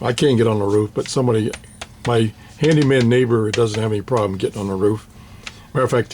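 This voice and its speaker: An older man, slightly hoarse, casually showing his radio antenna and its paperwork with many fillers and restarts. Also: mid-range voice, a little mellow, a little hiss or hum. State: slightly hoarse, clearing throat. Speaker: ham radio hobbyist vlogger. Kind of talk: casual show-and-tell.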